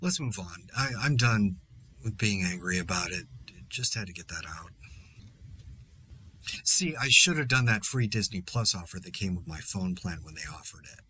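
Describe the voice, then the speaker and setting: A man's voice: sits low, expressive, normal speed, bright, forceful tone, breathy edge, exaggerated voice. A man playing an exasperated podcaster in a zombie outbreak, delivering a dry, sarcastic, rambling solo monologue in a conversational way. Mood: subdued, wry. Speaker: podcast host.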